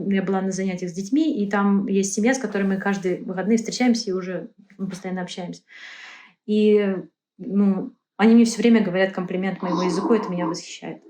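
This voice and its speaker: A woman's voice: mid-range voice, warm tone. Female interview guest, earnest, stop-start delivery, mid-range voice, echoey room sound. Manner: earnest, halting, with many self-corrections. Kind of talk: casual interview talk. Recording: roomy echo.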